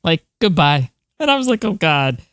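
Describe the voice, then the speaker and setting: A woman's voice: deep voice, big pitch swings, normal speed, fairly bright tone, no echo. Woman co-hosting a podcast, very dry sound. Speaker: podcast co-host. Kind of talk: conversational science news chat.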